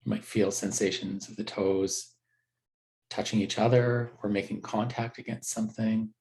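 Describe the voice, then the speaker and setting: A man teaching a guided meditation in a gentle, unhurried, conversational way with halting pauses. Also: fairly low pitch, steady tone, rough recording.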